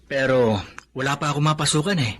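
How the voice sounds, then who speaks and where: An actor playing an earnest young working husband in a radio drama, speaking expressively. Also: male voice, mid-range voice, brisk, close to an everyday voice. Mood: content, earnest.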